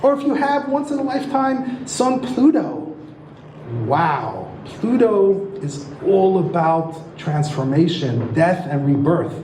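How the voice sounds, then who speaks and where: High-voiced man lecturing in a roomy hall. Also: sing-song, slow, dark tone, a little hiss or hum. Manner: enthusiastic, asks the audience rhetorical questions. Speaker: astrology lecturer and author. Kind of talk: teaching lecture. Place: lecture room. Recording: roomy echo.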